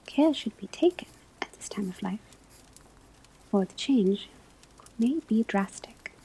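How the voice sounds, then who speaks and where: A woman playing a gentle witch-school divination teacher in an ASMR roleplay, whispering breathily as she gives measured instruction. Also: mid-range voice, lively intonation, husky, exaggerated voice, low-quality recording. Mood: calm, instructive. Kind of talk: ASMR classroom roleplay lecture.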